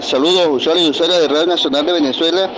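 A man's voice: a little high, fairly even intonation, very fast, noisy background. A man reporting from the field for radio, asking brief questions in a plain reporting manner. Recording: muffled outdoor audio with crowd.

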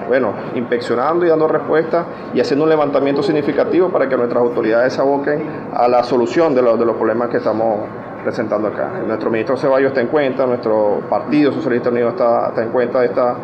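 Casting: male official, halting off-the-cuff delivery, muffled noisy outdoor recording. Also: medium pitch. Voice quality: halting, repeats words, many fillers. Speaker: lawmaker interviewed in the field. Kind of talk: off-the-cuff field interview.

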